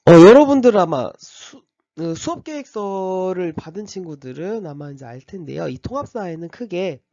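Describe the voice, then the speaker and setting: A man who teaches high school history and has a slight cold gives a step-by-step orientation lecture to his class, with frequent fillers and restarts. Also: animated, normal speed, dark tone, no room sound, dull audio.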